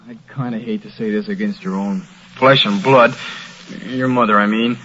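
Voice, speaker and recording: A man's voice: fairly low pitch, fairly even intonation, moderate pace, sharp tone. A man playing a frustrated, henpecked young husband with a hesitant, plaintive manner in an old radio drama. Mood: hesitant, fed up. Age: young adult. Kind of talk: 1940s-50s radio drama acting. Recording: noisy, dull old radio recording.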